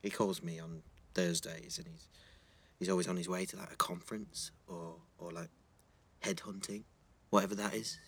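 A man's voice: low-pitched, little pitch movement, normal speed, gravelly, fairly bright tone, slightly rough, heavily acted. An actor playing a shy, earnest teenage schoolboy, hesitant and flustered, repeating his words in a naturalistic audio drama. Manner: hesitant, stammering repetitions, flustered.

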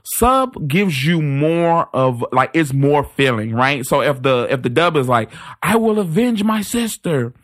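A man in casual podcast banter, animated, joking and playfully defensive. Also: fairly high pitch, unhurried, hardly any pauses. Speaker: podcast co-host.

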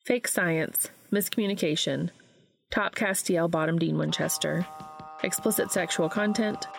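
A woman's voice: lowish voice, unhurried, vocal fry, soft background music, poor audio. A woman hosting and reading fan fiction aloud as scripted narration. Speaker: podcast host and reader.

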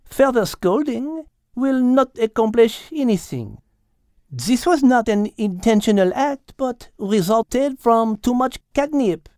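A poised French female cat speaks slowly in a high, soft-edged voice. She is formal, wise and a little stern, measuring out each phrase as in a storybook. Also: sing-song, dry recording, clean studio sound. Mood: stern, composed. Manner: measured, formal, slow and deliberate.

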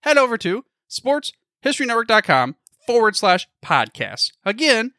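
A man's voice: very high-pitched, very animated, normal speed, sharp tone, dry recording, clean studio sound. A man reading an upbeat scripted promo, addressing the listener directly as a podcast network announcer.

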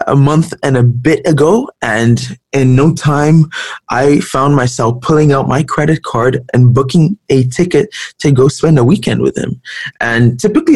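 A man's voice: mid-range voice, no echo. A man hosting a leadership podcast, talking in an earnest way with lots of fillers and restarts. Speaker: podcast host. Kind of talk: podcast interview.

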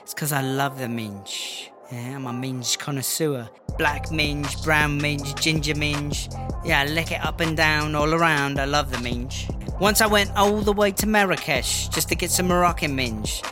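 Man as brash high-voiced English jailbird woman. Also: measured pace, close to an everyday voice. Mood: boastful. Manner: blustering, chatty, slangy. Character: brash, foul-mouthed English female prison inmate and rapper. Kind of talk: mockumentary interview in comedy audio drama. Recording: music under the voice.

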